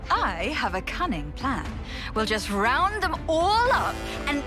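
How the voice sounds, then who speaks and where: An actress playing a playful, battle-hungry commander in a video game, with theatrical, teasing, jaunty delivery. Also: female voice, high-pitched, wildly swooping pitch, slow pace, over music, full character voice. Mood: teasing, confident. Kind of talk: video game cutscene acting.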